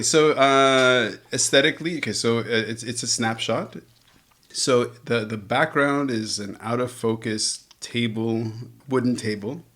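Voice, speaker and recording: A man's voice: fairly low pitch, a bit bright. A man hosting an interview in a guest's home, talking casually with playful teasing and frequent laughter. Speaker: podcast host.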